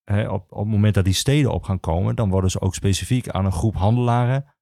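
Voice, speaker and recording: A man the explains history informally as an expert guest, halting and repeating himself as he searches for words. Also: lowish voice, gravelly, warm tone, dry recording, clean studio sound. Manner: hesitant, halting, with many pauses and repetitions. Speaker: archivist, podcast guest.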